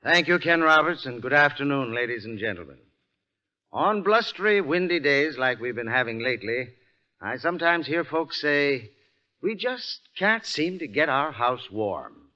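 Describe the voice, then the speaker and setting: Folksy, animated American radio pitchman. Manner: friendly, reassuring, earnest. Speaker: heating expert pitching a sponsor's product. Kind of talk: folksy scripted 1940s radio commercial. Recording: muffled vintage 1940s radio recording.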